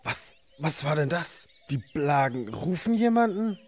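A man's voice: a little high, big pitch swings, unhurried, heavy background noise. A man playing an agitated, coarse animal smuggler in a children's radio play, muttering curses and threats to himself. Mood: alarmed. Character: agitated, cursing male animal smuggler, a criminal.

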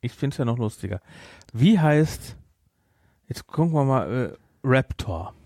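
A man with a German accent chatting casually about a film as a podcast host, with an animated, teasing manner. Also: medium pitch, unhurried, dry recording, studio quality. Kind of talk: casual chat, film discussion.

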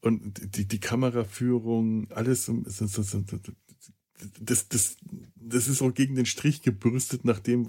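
A man's voice: lowish voice, warm tone, slightly rough. A man hosting a casual podcast, rambling and stumbling over his words. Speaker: podcast host.

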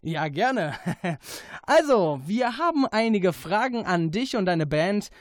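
A man's voice: sits high, sing-song, takes it slowly, dry recording, clean studio sound. A man hosting a casual radio interview.

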